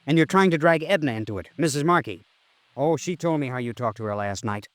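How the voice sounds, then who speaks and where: An actor playing a defensive, lovesick murder suspect, indignant and earnest, in 1950s radio drama style. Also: male voice, mid-range voice, lively intonation, fairly quick, no room sound, natural voice.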